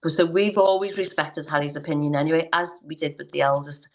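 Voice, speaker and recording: Low-voiced mother, earnest and halting. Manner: earnest, hesitant, many restarts. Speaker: interview guest, parent. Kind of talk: personal story in interview.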